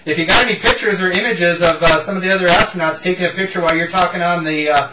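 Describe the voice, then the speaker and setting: A man's voice: sits high, fast, distant mic. A middle-aged man making a ham radio contact as an amateur operator, speaking in a small room at home. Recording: echoey room, off-mic, loud radio noise.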